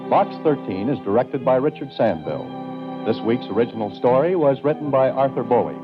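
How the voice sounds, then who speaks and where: A male announcer delivers a scripted 1940s radio sign-off. His pitch moves in an animated way. Music plays strongly behind him, and the recording is dull. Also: moderate pace.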